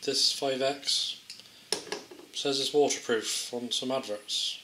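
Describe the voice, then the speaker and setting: A man with a bright, mid-pitched voice talks through a hands-on gadget test. He is casual and understated, hesitating as he thinks aloud. The room echoes clearly around him, with faint noise underneath. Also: fairly even intonation, takes it slowly. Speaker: amateur product tester presenting a gadget. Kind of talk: casual unscripted hands-on demonstration. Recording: roomy echo, faint background noise, clicks.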